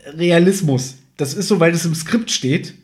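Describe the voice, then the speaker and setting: Higher-voiced man hosting a casual podcast. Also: moderate pace, a little mellow. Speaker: podcast host reviewing audio dramas. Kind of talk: casual chat, retelling and critique.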